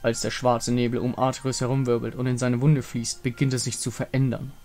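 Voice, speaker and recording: A man's voice: medium pitch, level tone, a little fast, a little mellow. A man giving a dramatic scripted narration of a fantasy game story. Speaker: narrator and reader of game dialogue. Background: music.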